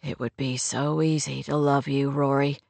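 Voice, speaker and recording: A woman voicing a lovesick man in a romance audiobook, anguished and tender, in a raw, hushed, halting murmur. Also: a bass voice, level tone, vocal fry, no echo, pitched below the performer's own voice. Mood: pleading, tender. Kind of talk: romance audiobook character dialogue.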